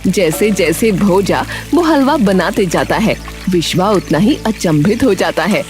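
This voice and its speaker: A woman narrates an animated tale from a script. The voice sits at a medium pitch and moves expressively, with soft music underneath. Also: measured pace. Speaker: story narrator.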